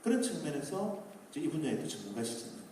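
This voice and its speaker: A man's voice: fairly high pitch, sing-song, fast, dark tone, slight background noise. A man lecturing to a live audience at a public talk, asking rhetorical questions and building up emphasis. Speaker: speaker at a public talk concert. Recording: echoey hall, distant mic.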